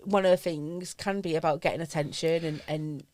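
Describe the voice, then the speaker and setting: A woman's voice: deep voice, steady tone, normal speed, a little mellow, no echo, clean studio sound. A woman, co-hosting a casual couple's podcast, teasing and asking questions. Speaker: podcast co-host.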